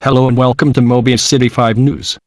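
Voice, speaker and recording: A man's voice: medium pitch, flat delivery, a little fast, dry recording, studio quality, lightly acted. A man playing a local television news anchor reading a scripted newscast. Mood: formal.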